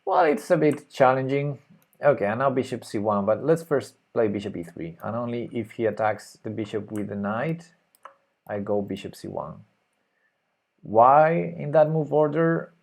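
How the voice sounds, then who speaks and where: A man's voice: mid-range voice, normal speed, gravelly. A man with a Spanish accent streaming live chess, thinking aloud and hesitantly muttering his moves. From Spain. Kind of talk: live chess commentary while playing.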